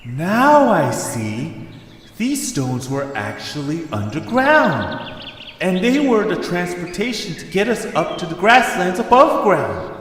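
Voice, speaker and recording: Man playing an excitable, eager young adventurer, swooping pitch. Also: takes it slowly, a little hiss or hum, heavily acted. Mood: curious. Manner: excitable, expressive. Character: eager, hopeful young male adventurer leading his friends. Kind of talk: amateur audio drama acting.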